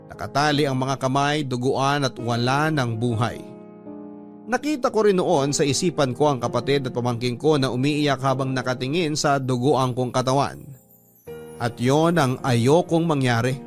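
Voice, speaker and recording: A man's voice: medium pitch, natural voice. A Filipino man narrating a letter dramatically in the first person, in radio drama style. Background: music bed.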